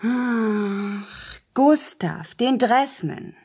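An actress in a German radio play voicing a chatty woman who retells a story in a colloquial way, with a slightly husky voice. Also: fairly high pitch, animated, extremely slow, darkish tone, exaggerated voice. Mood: amused musing. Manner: colloquial storytelling, quoting characters directly. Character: chatty woman spinning out a novel's plot.

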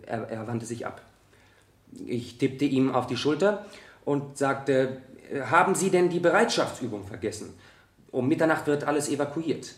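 A man playing a young student witness in a radio courtroom drama, halting and stammering yet insistent. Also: mid-range voice, animated, close to an everyday voice. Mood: earnest. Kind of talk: radio play courtroom dialogue. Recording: roomy 1970 radio drama studio.

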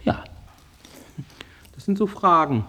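A man's voice: high voice, sing-song, slow pace, bright, forceful tone, a little hiss or hum, full character voice. An actor playing an agitated male psychiatric patient in a radio drama, defending himself in fragmented, insistent bursts. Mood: insistent, rambling. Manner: rambling, fragmented, insistent self-justification.

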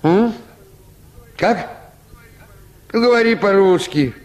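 An actor playing a grumpy, slovenly, officious village medical orderly in a Russian radio play, irritable, curt and bossy. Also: male voice, high-pitched, animated, slow, darkish tone. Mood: impatient.

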